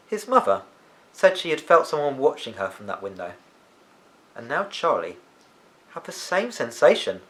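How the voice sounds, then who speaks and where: A man reading a children's novel aloud as an expressive storyteller. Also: mid-range voice.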